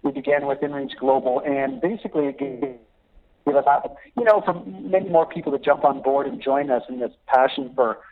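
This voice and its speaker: A middle-aged man calling in as a missionary guest, telling stories in a lively, animated way and laughing often. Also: medium pitch, normal speed. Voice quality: laughs often while talking. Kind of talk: phone interview, storytelling. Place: calling in from abroad. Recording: choppy phone line.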